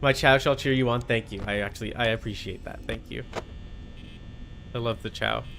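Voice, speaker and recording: A man's voice: mid-range voice, steady tone, moderate pace, gravelly, a bit bright, slight background noise, poor audio. A man chatting casually as he streams a game, with a blunt, sweary, argumentative way of talking. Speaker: livestream host. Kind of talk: casual gaming stream chat while playing a horror game.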